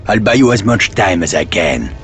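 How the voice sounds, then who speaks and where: A man's voice: sits low, big pitch swings, moderate pace, soft background music. A man playing a stern, unyielding resistance leader in a video game cutscene, grave, commanding and dramatic. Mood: resolute.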